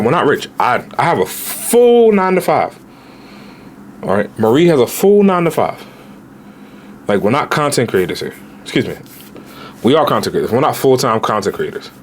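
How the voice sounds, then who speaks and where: A man in his late twenties, a travel vlogger giving casual, animated budget travel advice straight to viewers, with slang. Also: on the low side, slight background noise. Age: 20s.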